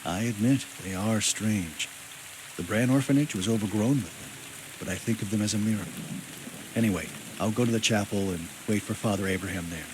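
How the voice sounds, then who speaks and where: A low-voiced, brooding man in mourning played by a male actor, weighed down by guilt, speaks somber, measured video game dialogue. Steady rain noise runs clearly behind him. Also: lightly acted. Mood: somber, resigned.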